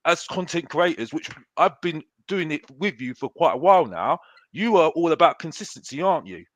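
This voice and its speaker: A man with a high-pitched voice, a content creator in a heated debate, stammering and restarting as he defends his view. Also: expressive, a little fast, no room sound. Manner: stammering, many restarts when pushed.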